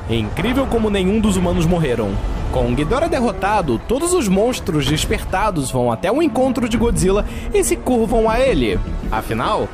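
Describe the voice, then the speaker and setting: A Brazilian man narrates a film recap in a casual, jokey tone. His voice sits high and swings widely in pitch. Music plays clearly under him. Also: wildly swooping pitch, a little fast. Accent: Brazilian Portuguese. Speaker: film reviewer. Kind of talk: scripted video narration.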